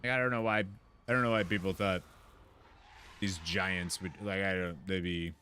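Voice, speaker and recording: A man's voice: sits low, fairly even intonation, normal speed, sharp tone, a little hiss or hum. A man giving casual, rambling and enthusiastic gameplay commentary as he chats with his viewers. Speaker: video game streamer.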